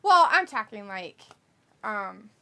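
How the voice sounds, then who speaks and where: A woman's voice: medium pitch, big pitch swings, slow pace, bright, forceful tone. A woman co-hosting a wrestling watch-along, chatting casually, reading viewer comments aloud and often breaking into laughter.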